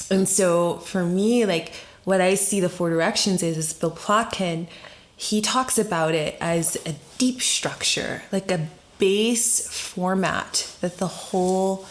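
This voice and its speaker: A woman with a slightly low voice talks as a guest on a podcast. She explains her ideas conversationally and reflectively. Her phrasing is informal and exploratory, dotted with rhetorical questions. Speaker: podcast guest, story doula and facilitator.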